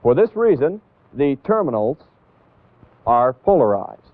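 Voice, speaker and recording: Male American instructor, 1960s educational film narration, mid-range pitch, deliberate and emphatic on key points, narrow tinny vintage audio. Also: big pitch swings, no room sound.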